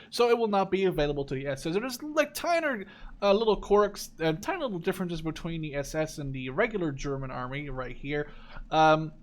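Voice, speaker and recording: A man in his twenties giving casual video game commentary in a rambling, conversational way, often correcting himself as he goes. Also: a little high. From Netherlands. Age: 20s. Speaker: gaming video creator.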